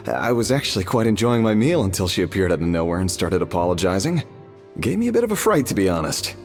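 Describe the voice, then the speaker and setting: A man's voice: deep voice, very animated, fast. A man voicing a mild, easygoing diner in a video game, polite and a little awkward. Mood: bemused. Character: mild, easygoing male restaurant customer, bemused and awkward. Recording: long reverb with music behind.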